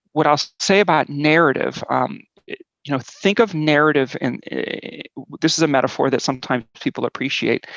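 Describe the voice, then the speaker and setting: A man's voice: fairly high pitch, gravelly, fairly bright tone, dry recording, lo-fi sound. A man in his forties, raised in Switzerland, telling his personal story in a conversational talk, with many "um"s and a tag of "right?". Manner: conversational, frequent "right?" and "um" fillers. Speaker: guest speaker.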